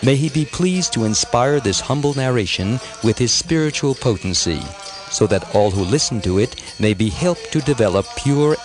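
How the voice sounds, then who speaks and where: A man narrates an audiobook from a script, with music clearly playing behind him. His voice sits at a medium pitch. The delivery is measured and formal, with a reverent manner.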